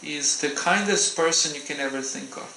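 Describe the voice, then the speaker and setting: A man giving a gentle, unhurried devotional talk in a temple, pausing often as he answers questions. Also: on the high side, a little hiss or hum. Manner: gentle, unhurried, with frequent hesitations. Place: temple hall. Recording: roomy hall echo.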